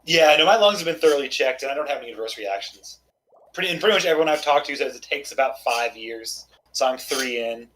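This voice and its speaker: Mid-pitched man, a bit hoarse, rambling friendly gamer chat; faint background noise. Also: fast talker, fairly bright tone, rough recording. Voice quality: slightly hoarse, coughing, clears throat. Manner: rambling, chatty, self-deprecating. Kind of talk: casual chat while playing.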